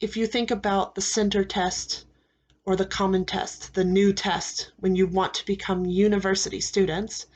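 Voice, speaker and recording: An American woman teaching English, giving students slow, deliberate advice and repeating key phrases with frequent "okay?" checks. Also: medium pitch, flat delivery, a little mellow. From United States. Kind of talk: classroom advice talk to students.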